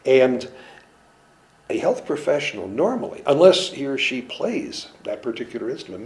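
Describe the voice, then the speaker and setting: A man who treats musicians and dancers, giving a measured, earnest, halting explanation in an interview. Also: medium pitch, a little mellow. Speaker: clinician in performing arts medicine.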